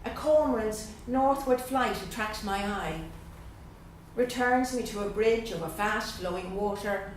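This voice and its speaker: A middle-aged Irish woman from Dublin reading her poems slowly and with pauses at a literary evening, chatting hesitantly between them. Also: medium pitch, slight background noise. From Dublin, Ireland. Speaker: guest poet. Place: event room at a literary gathering. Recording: very echoey room, voice far off.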